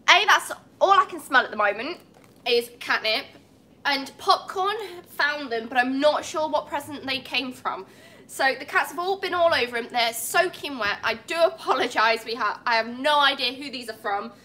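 Young english woman livestreaming from a cat cafe on her phone, high bright voice, bit stuffy from a cold, faint noise behind. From England. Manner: chatty and apologetic, laughs often. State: has a cold. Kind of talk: casual livestream chat. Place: indoor cat cafe with large windows. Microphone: phone on a tripod.

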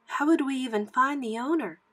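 A woman's voice: sits high, a little fast, voice pushed up. A woman voicing a sweet, inquisitive young girl puppy from a storybook, with a childlike manner. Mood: puzzled.

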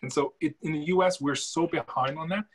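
A middle-aged man, a health expert, answering on a video panel in quick answers that list facts. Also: a little high. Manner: quick, fact-listing answers. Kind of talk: panel discussion. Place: home room. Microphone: video call.

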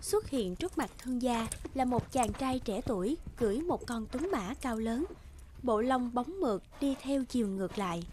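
Higher-pitched female fairy-tale narrator. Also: expressive, moderate pace, a little mellow, a little hiss or hum.

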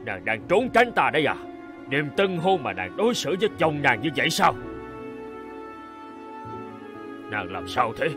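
An actor playing a burly, boastful, hot-tempered royal guard and bridegroom in a cartoon folk tale, emphatic and demanding. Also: male voice, medium pitch, lightly acted. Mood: indignant. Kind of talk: animated folk-tale dialogue.

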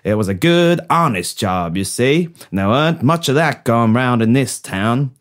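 A man's voice: mid-range voice, lively intonation, unhurried, close to an everyday voice. A man voicing a polite, folksy Southern factory worker with a Southern US accent in a comedy role-play. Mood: earnest, folksy. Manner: polite, drawling folksy idioms. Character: folksy, courteous Southern toy-factory worker turned astronaut applicant.

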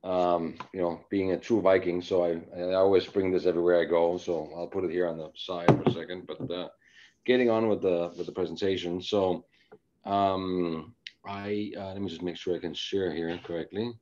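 A very deep-voiced middle-aged man from Denmark talks through a work presentation over a video call from his living room. He rambles with plenty of fillers, restarts and doubled words. The sound is dull and lo-fi, like a laptop webcam mic. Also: little pitch movement, moderate pace, dark tone, a little hiss or hum. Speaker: engineering executive presenter. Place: living room at home, evening.

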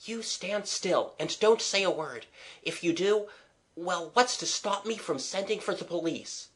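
A reader voicing a cool, courteous and bossy schoolboy who gives measured advice in a storybook. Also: mid-range voice, sing-song, fairly bright tone. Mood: quietly threatening. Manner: polite, measured, advising.

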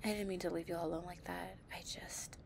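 A woman's voice: fairly low pitch, steady tone, a little fast, gravelly, a little hiss or hum. A woman playing a tough but tender, anxious delinquent girlfriend in an intimate roleplay audio, murmuring breathily. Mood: apologetic, weary.

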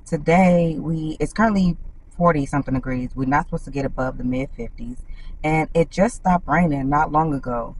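A woman's voice: deep voice, normal speed, background noise. A woman in her thirties chatting casually to camera as a vlogger, talking to her viewers like a girlfriend, partly filmed in a parked car on a phone. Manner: chatty, addresses viewers as girl. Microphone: phone camera at arm's length.

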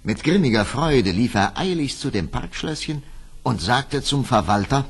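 A man's voice: mid-range voice, very animated, normal speed, husky. A man narrating a scripted fairy tale as the storyteller of an audio play.

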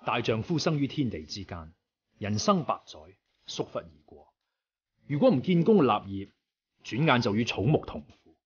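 A man's voice: medium pitch, sing-song, moderate pace, a little mellow, highs cut off, close to an everyday voice. A man acting a young, righteous martial rebel leader in a Cantonese radio drama, earnest and persuasive, with formal declamatory speech. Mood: persuasive, stirring.

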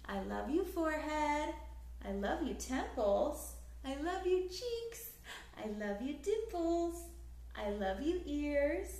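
A woman in her thirties reading a picture book aloud to young children in an expressive, sing-song way, recorded in a living room. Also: sits high, takes it slowly, echoey room. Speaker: children's story time host. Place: small living room, indoors on a couch.

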